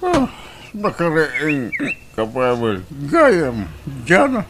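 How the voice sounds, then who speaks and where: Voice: medium pitch, wildly swooping pitch, drawn-out words, background noise, exaggerated voice. An actor playing a drunken, irritable, jobless husband in a radio drama, drawling and complaining with an Ilocano accent. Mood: groggy.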